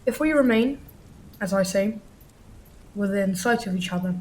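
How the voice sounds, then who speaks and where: A woman acting a stern, authoritative older gentleman in a radio play, speaking formally and pompously. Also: mid-range voice, moderate pace, a little hiss or hum, lightly acted. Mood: commanding.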